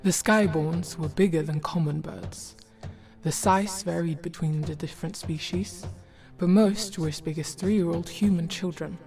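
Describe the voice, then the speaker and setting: Female storybook narrator, low-pitched and measured, over prominent music. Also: steady tone. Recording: loud music behind.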